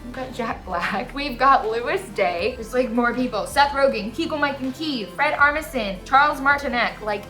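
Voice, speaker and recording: A woman's voice: on the high side, bright, forceful tone. A young American woman hosting a casual movie review, gushing and emphatic, with slangy phrasing. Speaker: movie podcast host.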